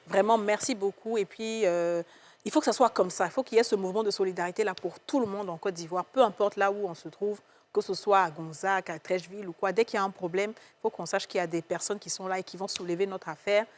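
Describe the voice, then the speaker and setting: A woman's voice: medium pitch, steady tone, fast, a little mellow. A woman with an Ivorian accent hosting a casual panel discussion, speaking in a colloquial, exclamatory way. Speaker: talk show host.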